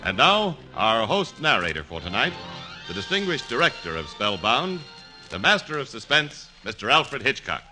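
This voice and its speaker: A man's voice: mid-range voice, sing-song, normal speed, over music. A man announcing a 1940s radio show in an emphatic, formal style.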